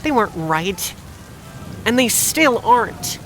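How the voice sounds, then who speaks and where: A woman's voice: a little high, sing-song, slow, faint music behind, low-quality recording. An actress playing a guarded, independent young woman drifter, speaking dryly in a noir audio drama. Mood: wry. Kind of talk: audio drama acting with noir first-person narration.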